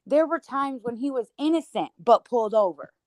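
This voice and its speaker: A woman's voice: on the high side, lively intonation, moderate pace, a little mellow, no echo. A woman in casual livestream conversation, talking emphatically and peppering her speech with "you know".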